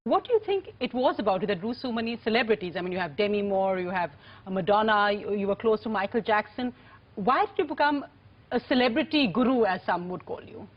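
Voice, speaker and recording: A woman hosting a TV interview show, giving crisp scripted introductions and questions. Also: medium pitch, lively intonation, brisk. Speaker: TV talk show host. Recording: dull lo-fi TV broadcast sound.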